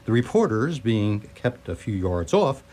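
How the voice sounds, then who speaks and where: A man's voice: on the low side, big pitch swings, measured pace, a little mellow. A man reading scripted story narration for radio theatre, with a wry, literary storytelling manner. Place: live radio theatre performance.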